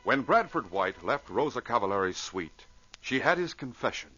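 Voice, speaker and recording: A man narrating an old-time radio adventure in a dramatic, measured storytelling style. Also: mid-range voice, big pitch swings, sharp tone, slightly rough, soft background music. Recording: dull, noisy vintage radio recording.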